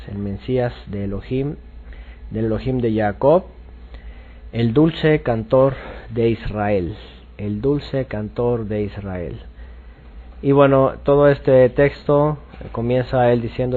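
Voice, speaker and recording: Muffled male Bible teacher. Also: mid-range voice. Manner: halting, repetitive, explanatory. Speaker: religious teacher.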